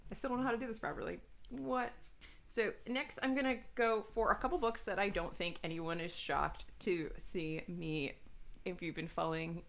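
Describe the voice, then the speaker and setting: A woman's voice: on the high side, fairly bright tone. A woman chatting casually to viewers as a book reviewer, informal, gushing and emphatic, with some self-deprecation. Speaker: book reviewer, video host.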